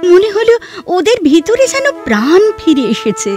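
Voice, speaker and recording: A woman's voice: extremely high pitch, sing-song, moderate pace. An actress playing a poised, restrained young literary woman in a Bengali audio drama, lyrical and knowing. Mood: wondering. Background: background music.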